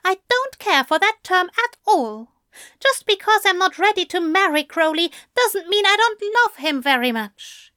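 Female reader doing a fussy angel man: yelpy, pitched up, very put-upon, dry close sound. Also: wildly swooping pitch, slow, few pauses, a bit bright, dry recording, full character voice. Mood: snappish. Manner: high, indignant, yelping. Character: fussy prim male angel, easily flustered.

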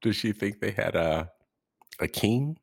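A man's voice: fairly low pitch, expressive, a little slow, vocal fry, no echo, studio quality. A man hosting a reaction podcast, rambling and hesitant as he comments casually and laughs often.

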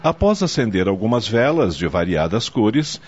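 A man's voice: lowish voice, big pitch swings, normal speed, soft background music. A man delivering scripted, dramatic narration for a radio soap opera. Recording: dull noisy audio with ambience.

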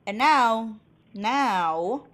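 A woman's voice: a little high, extremely slow. A woman with Spanish as her first language, chatting casually as a podcast host, swearing freely and laughing often as she tells stories. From Mexico. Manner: profane, laughs often, animated storytelling. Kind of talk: casual chat.

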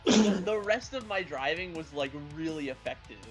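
Playful higher-voiced man, music behind. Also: animated, normal speed. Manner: playful, slangy. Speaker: player in a tabletop game. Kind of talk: casual improvised game chat.

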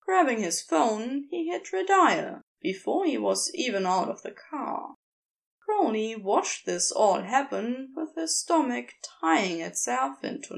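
A woman reads fiction as an audiobook narrator. Her voice sits a little high, with noticeable fry. She takes her time and varies her pitch expressively.

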